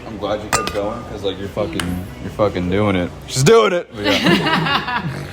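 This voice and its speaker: Enthusiastic man, low and sweary. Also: sing-song, measured pace, over a noisy crowd. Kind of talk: casual chat.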